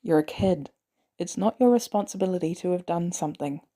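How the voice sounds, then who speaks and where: A woman voicing a weary, guilt-ridden male former assassin in an audio drama, terse and hesitant, with dry, protective gruffness. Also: lowish voice, expressive, a little fast, dark tone. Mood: protective, resigned.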